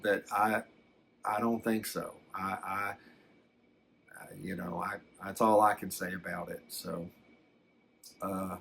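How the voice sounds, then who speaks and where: A man playing a gentle, rambling older man who recalls his alien abduction in a hesitant, folksy, reflective way for an ASMR story. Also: lowish voice. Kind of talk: first-person ASMR storytelling.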